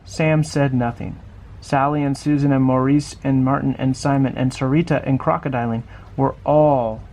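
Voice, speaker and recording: A man's voice: mid-range voice, creaky, darkish tone, slight background noise. A man reading a children's picture book aloud expressively, putting questions to the listener as he goes. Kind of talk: storybook read-aloud for children.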